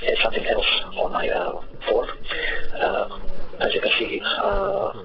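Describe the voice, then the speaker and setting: A man from Croatia with a Croatian accent gives an unscripted, halting software tutorial as a non-native speaker. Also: sits high, animated, vocal fry. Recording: dull, noisy, distant-sounding recording.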